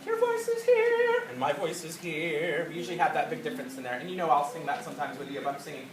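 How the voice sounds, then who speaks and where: High-voiced man explaining in a roomy classroom. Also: big pitch swings, fast. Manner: explanatory, demonstrates by singing. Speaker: music teacher. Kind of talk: classroom teaching. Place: school classroom. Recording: echoey classroom from far off with loud noise.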